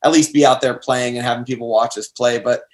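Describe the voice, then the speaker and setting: A man's voice: mid-range voice, steady tone, fairly quick. A young American man in a band, telling stories in a casual, slangy, rambling way during an interview. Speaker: band member being interviewed. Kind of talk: casual chat.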